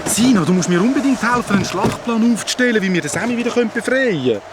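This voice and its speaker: A man's voice: high voice, lively intonation, fast talker, heavy background noise. An actor playing a worried, impulsive young boy in a Swiss German children's radio play, speaking urgently and full of questions. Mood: anxious.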